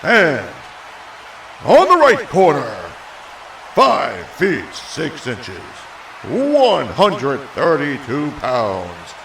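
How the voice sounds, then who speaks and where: Man playing a slow, grandiose ring announcer, far off. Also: a little high, huge pitch swings, fairly bright tone, slight background noise, heavily acted. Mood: rousing. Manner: drawn-out, showy calls.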